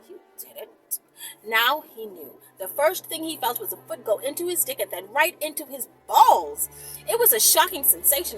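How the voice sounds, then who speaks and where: A woman reading a story aloud in an expressive, informal, giggly manner with chatty asides. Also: high-pitched, rough recording.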